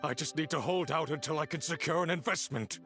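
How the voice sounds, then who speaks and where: Gravelly, high-pitched desperate schemer. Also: male voice, quick pace, fairly bright tone, faint music behind. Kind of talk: video game voice acting.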